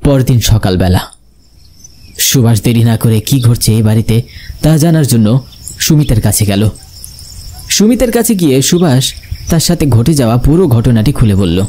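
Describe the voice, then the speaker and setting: Lively male horror storyteller. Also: medium pitch, moderate pace, pauses often, slight background noise. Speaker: narrator. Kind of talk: dramatic scripted horror storytelling. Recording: loud music behind the voice.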